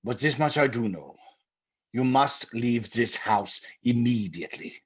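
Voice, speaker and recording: Husky, grave occult doctor. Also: male voice, medium pitch, lively intonation. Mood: solemn. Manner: grave, deliberate, commanding. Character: stern, authoritative male doctor investigating the supernatural. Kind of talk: old-time radio drama acting. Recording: muffled old radio recording.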